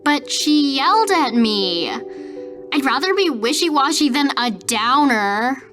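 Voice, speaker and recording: A woman plays a young camp counselor with a high voice. She is chatty and gossipy, telling her story in breezy slang like a letter home. Light music plays under this horror podcast monologue. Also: expressive, a little slow. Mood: chatty, flustered.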